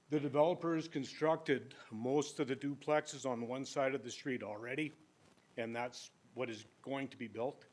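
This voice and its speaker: Older man, applicant at a council hearing, plainspoken, sometimes booming. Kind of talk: public hearing presentation. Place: council chamber. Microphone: podium microphone.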